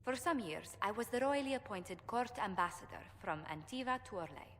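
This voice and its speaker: An actress playing a poised, tactful court diplomat in a video game, speaking in a formal and measured way. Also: female voice, mid-range voice, expressive, a bit bright. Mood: composed, tactful. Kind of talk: video game dialogue acting. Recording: long hall reverb, faint noise.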